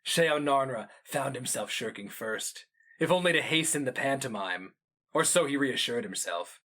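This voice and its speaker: A man narrating a fantasy story as a dramatic reading, solemn, deliberate and theatrical. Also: medium pitch, lively intonation, pushed and bright.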